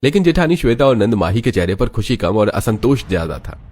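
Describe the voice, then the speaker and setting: A man's voice: fairly low pitch, very animated, brisk, darkish tone. A man narrating a scripted dramatic family story as a storyteller. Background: background music.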